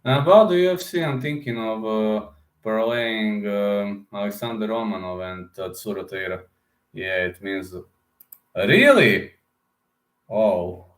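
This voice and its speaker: A man's voice: on the low side, expressive, unhurried, fairly bright tone. A man chatting casually on a live stream, rambling and often repeating words as he restarts sentences. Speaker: MMA fighter and betting-picks streamer. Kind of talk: casual live-stream chat reading comments. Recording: echoey room.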